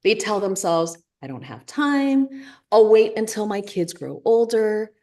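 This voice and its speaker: A woman co-hosting a live webinar, giving a motivational talk and slowly repeating key lines for emphasis. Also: mid-range voice, expressive, warm tone. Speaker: webinar co-host.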